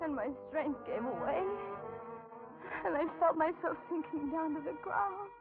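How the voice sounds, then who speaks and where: An actress playing a frightened young carhop, halting and melodramatic, in a 1940s radio suspense drama. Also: female voice, high voice, lively intonation, loud music behind the voice. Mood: panicked. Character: frightened young carhop recounting her nightmare ordeal. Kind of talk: 1940s radio drama acting, first-person narration. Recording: tinny 1940s radio recording.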